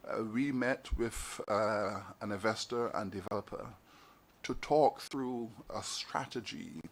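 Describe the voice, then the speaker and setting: A man from Barbados giving a formal speech to a council meeting, measured and oratorical, building his points with repeated phrases. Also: medium pitch, a bit bright. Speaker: university leader giving an address. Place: meeting hall.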